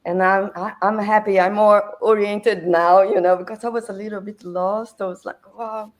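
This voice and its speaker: A woman's voice: mid-range voice, steady tone, normal speed. A woman from Brazil with a Brazilian Portuguese accent, chatting as a guest on a video call. She talks unhurriedly, laughs often and searches for words. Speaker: podcast guest. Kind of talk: casual video-call chat.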